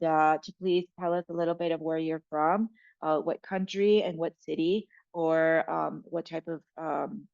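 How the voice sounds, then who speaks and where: A woman's voice: low voice, no room sound, lo-fi sound. A woman moderating a panel webinar, asking questions with frequent hesitations. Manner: hesitant, many fillers. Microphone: video call.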